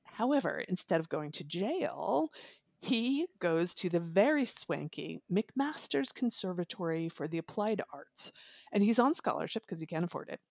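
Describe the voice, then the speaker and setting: A woman's voice: fairly low pitch, sing-song, normal speed, vocal fry, darkish tone, dry recording. A woman talking casually and informally about books as a reviewer on a podcast, with a giggly manner. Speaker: audiobook reviewer, podcast guest. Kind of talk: casual, chatty book discussion.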